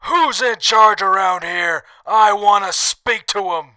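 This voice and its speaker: A man's voice: sits high, slow, bright, forceful tone, smooth, exaggerated voice. A man playing a quarrelsome, indignant customer haggling in a blustering way in a radio drama.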